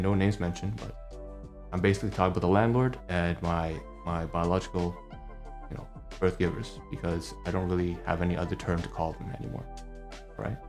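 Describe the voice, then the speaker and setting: Heated low-voiced man ranting at home. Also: darkish tone. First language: Cantonese. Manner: heated, profane ranting with many rhetorical tags. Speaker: vlogger. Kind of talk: personal vlog rant.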